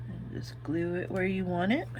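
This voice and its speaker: A woman's voice: lowish voice, steady tone, moderate pace, noisy background. A woman with an Oklahoma accent, chatting her way through a crafting tutorial and thinking aloud as she works. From Oklahoma, United States. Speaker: craft video host.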